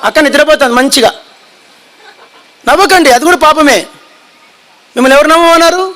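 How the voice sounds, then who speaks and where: Male preacher, piercing high voice, shouts, drills the congregation with repeated lines. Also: brisk, rough recording. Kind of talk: fiery sermon.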